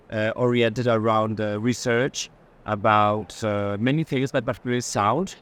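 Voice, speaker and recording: Man, mid-range voice, gallery guide, halting and informal, explaining work on the spot. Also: mid-range voice, clean tone. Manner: halting, pausing often to find words. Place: art fair booth.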